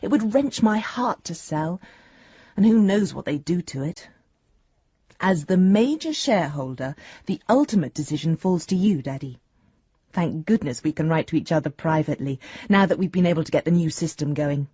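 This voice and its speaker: An actress playing an earnest, affectionate young adult daughter, reading a letter in a chatty, sincere way for a radio drama. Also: female voice, low-pitched, lively intonation, moderate pace, mellow, husky, no echo, dull audio. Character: earnest, affectionate grown daughter writing to her father.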